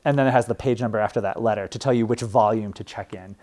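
A man's voice: lowish voice, quick pace, a little mellow. A young man, a librarian, teaching a class in a casual, chatty way with frequent fillers and restarts. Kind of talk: informal classroom talk.

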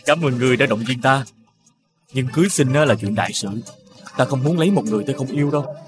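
An actor playing a gentle, wistful young prince in an animated fairy tale, pensive and sincere. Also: male voice, mid-range voice, quick pace, music in the background, lightly acted. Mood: earnest.

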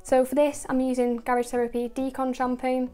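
A woman talks through a car wash step by step in a recorded voice-over, methodical and focused on the products. Her pitch sits a little high but stays very level and even from line to line. Faint music plays underneath. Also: brisk, clear, resonant tone. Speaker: car detailing channel host.

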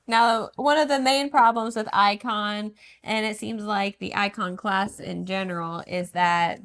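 A woman's voice: mid-range voice, fairly even intonation, unhurried, a bit bright. Easygoing woman co-hosting a travel vlog. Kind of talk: casual review chat.

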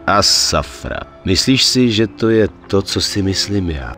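A man's voice: low voice, animated, bright, forceful tone, soft background music. A male actor in a dubbed video game, playing a tough, wary, level-headed gangster with a dry, blunt manner.

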